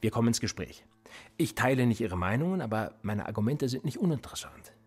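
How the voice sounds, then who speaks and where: An actor playing a sardonic, probing police interrogator in a naturalistic radio drama, speaking in a deliberate, controlled way. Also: male voice, medium pitch, very animated, slightly rough. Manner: deliberate, probing, dryly ironic.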